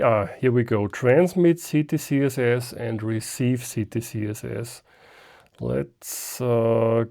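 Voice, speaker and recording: A man's voice: mid-range voice, gravelly, mellow. A man, a German speaker, giving a casual unscripted hands-on gadget demonstration and thinking aloud step by step. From Austria. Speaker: ham radio reviewer.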